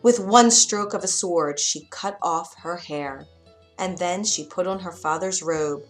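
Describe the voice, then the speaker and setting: A woman narrating a children's bedtime story with a clear, expressive reading. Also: fairly low pitch, unhurried, faint music behind.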